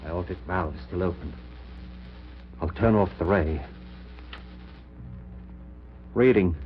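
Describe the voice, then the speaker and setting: An actor playing an obsessed middle-aged surgeon in a 1940s radio horror drama, giving intense, clipped, commanding orders. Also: male voice, sits low, takes it slowly, soft background music. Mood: urgent, commanding. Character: obsessed middle-aged male surgeon reviving his dead wife. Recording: muffled, noisy, humming 1940s radio recording.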